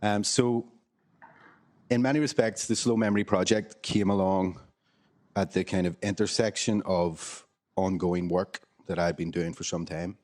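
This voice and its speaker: A Northern Irish man speaks in a low, deep voice, lecturing at a measured pace. He is a professor talking on a panel, pausing often with ums. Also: steady tone, darkish tone. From Northern Ireland. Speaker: history professor. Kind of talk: academic panel talk.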